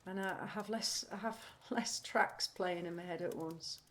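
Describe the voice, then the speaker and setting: An actress playing a troubled, candid, anxious woman in an audio play, halting as she searches for words. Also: lowish voice, steady tone, a bit bright, lightly acted. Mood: subdued, confessional. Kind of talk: audio play acting, confessional monologue.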